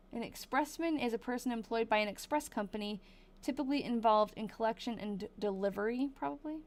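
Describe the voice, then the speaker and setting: A woman from Massachusetts hosting a live stream, reading an old diary aloud in a casual way, thinking out loud and slowing down over hard words. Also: medium pitch, vocal fry.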